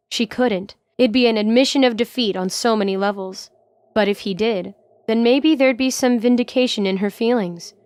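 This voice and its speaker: A woman's voice: medium pitch, clean tone, dry recording. A woman reading scripted third-person narration for a fantasy audio drama.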